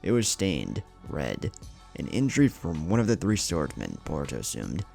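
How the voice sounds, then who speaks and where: A man's voice: extremely low pitch, wildly swooping pitch, moderate pace, vocal fry, a little mellow, faint music behind. A man reading a scripted adventure story aloud as its narrator. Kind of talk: scripted narration of fan fiction.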